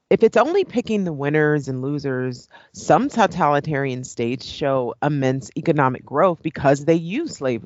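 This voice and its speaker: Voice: mid-range voice, no room sound, muffled recording, natural voice. A performer playing a persistent, skeptical debater in an audio play, asking probing, insistent and articulate questions. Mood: challenging.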